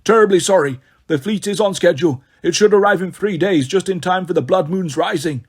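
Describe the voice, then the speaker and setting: A man's voice: high-pitched, a little fast, slightly rough. A flesh-eating ghoul henchman with a British accent, put on by a male audiobook reader. He is obsequious and apologetic, forever deferring to his master. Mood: measured, obsequious. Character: servile flesh-hungry male ghoul minion. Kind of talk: audiobook character voice.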